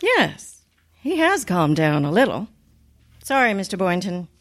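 A woman playing a wry, wisecracking high school teacher with sardonic wit in an old-time radio comedy. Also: big pitch swings, unhurried, background noise. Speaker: actor in a radio play.

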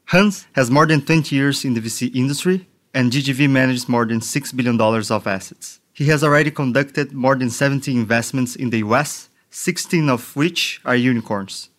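A man's voice: medium pitch, moderate pace, hardly any pauses, fairly bright tone. A man from Brazil hosting a podcast and delivering a scripted introduction of his guest. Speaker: podcast host, venture capital partner.

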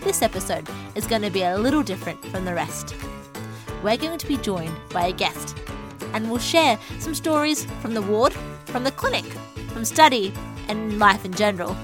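A woman's voice: medium pitch, animated, normal speed, over music. A young woman with an Australian accent, hosting a podcast in a casual, chatty style. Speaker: podcast host. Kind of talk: casual chat.

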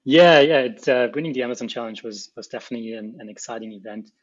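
A man's voice: medium pitch, fast, dry recording, highs cut off. A middle-aged man from Austria with an Austrian accent, a native German speaker, explaining his work as a guest in an interview. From small Alpine town in Austria. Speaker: guest, robotics researcher and company founder.

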